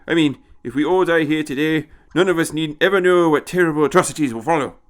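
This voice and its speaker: A man voicing a fussy, scornful old time traveller, excitable and chiding, with hesitant little interjections, in an audio story. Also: on the high side, fast talker, voice pushed up, lightly acted. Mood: brightly reassuring.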